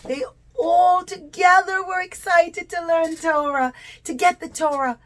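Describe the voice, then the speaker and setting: A woman's voice: very high voice, steady tone, a little slow, a bit bright. A woman telling a story to young children in simple, repetitive, emphatic phrases. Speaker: children's puppet show storyteller.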